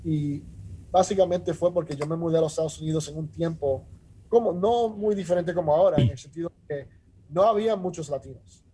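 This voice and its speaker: A middle-aged man from Rico, a judge talking earnestly in a measured way with many restarts and filler sounds. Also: on the high side, crowd noise, poor audio. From Puerto Rico. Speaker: judge, interview guest. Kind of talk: casual interview talk.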